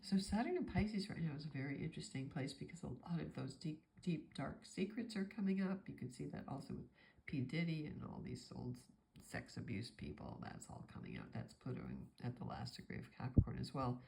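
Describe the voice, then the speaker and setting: A woman's voice: sits low, sing-song, a little fast, vocal fry, warm tone, a little hiss or hum, low-quality recording. A woman astrologer with a stuffy nose from a cold, talking through a forecast in a meandering, thinking-aloud way.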